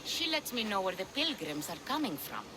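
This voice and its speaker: A woman's voice: medium pitch, big pitch swings, normal speed, noisy background, lightly acted. An actress playing a blunt, sardonic, foul-mouthed female pirate ship captain in a video game cutscene. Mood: grumbling, sardonic.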